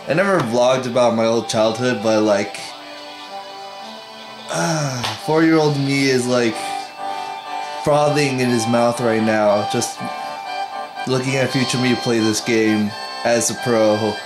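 A man's voice: mid-range voice, roomy sound, rough recording. A young man vlogging casually from his bedroom, gushing and rambling about games, filmed on a camera held at arm's length. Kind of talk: casual vlog chat. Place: bedroom at home.

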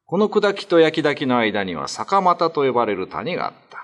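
Animated male ghost story narrator. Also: a little high, normal speed.

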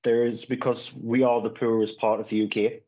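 A man's voice: mid-range voice, flat delivery, moderate pace, dark tone. A man, chatting casually on a podcast and rambling through restarts and fillers, recorded from his phone on a video call at home. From Northeast England. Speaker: podcast co-host. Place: small room at home, indoors. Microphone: phone camera on a video call. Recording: slightly dull lo-fi call audio.

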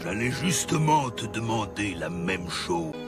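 A man voicing a big, naive, good-hearted warthog sidekick in an animated film dub, earnest and boisterous. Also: fairly low pitch, a little slow. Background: loud film music.

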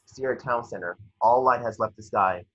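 A man narrating scripted stage directions at a table read, measured with small stumbles, over a video call. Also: medium pitch, pushed and bright, slightly rough, no echo. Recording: dull lo-fi video call audio.

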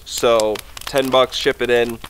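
Young male outdoor vlogger, relaxed rambling delivery, recorded by a camera a few meters off. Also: medium pitch, slow, a little hiss or hum. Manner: chatty and easygoing, rambling with fillers. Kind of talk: casual rambling talk to camera. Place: snowy forest campsite at night, outdoors by a fire. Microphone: camera on a tripod a few meters away.

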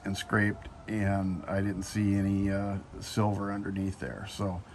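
A man's voice: low voice, completely flat delivery, measured pace, a little mellow, slight background noise. A middle-aged man casually reviewing trailer wiring outdoors in a driveway, rambling with many fillers and restarts, filmed on a handheld phone. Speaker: DIY video maker.

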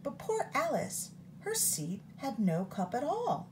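Woman, storytime read-aloud, expressive, very slow, lively pitch, phone recording. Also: background noise. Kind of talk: reading a picture book aloud.

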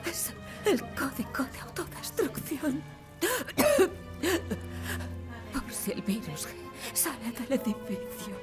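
A woman's voice: a little high, expressive, unhurried, music in the background. A woman playing a stern yet devoted wounded scientist mother in a dubbed horror game. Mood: weak, grave.

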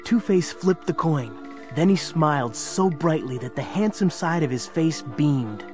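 A man's voice: fairly high pitch, animated, measured pace, darkish tone. A man narrating an audio story in a dramatic, scripted storytelling style. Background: music.

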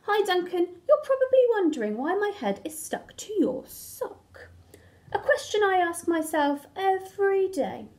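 Lady doing a fed up turquoise crayon from a picture book, complaining, high n animated. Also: a little slow, exaggerated voice. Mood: long-suffering. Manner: long-suffering, complaining. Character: aggrieved turquoise crayon stuck to a smelly sock.

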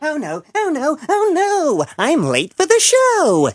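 Woman as bumbling frog puppet, lazy and friendly, hesitant, slow-paced, swooping pitch, children's show. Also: wildly swooping pitch, music in the background, exaggerated voice. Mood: flustered panic. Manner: hesitant, forgetful, exclaiming.

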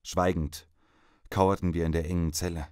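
Guy playing weary serious soldier survivor, lowish voice, super dry close sound. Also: flat delivery, moderate pace, darkish tone, no room sound, lightly acted. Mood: brooding. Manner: brooding, serious. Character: grim, disillusioned male soldier, a survivor. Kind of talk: audio drama narration and dialogue.

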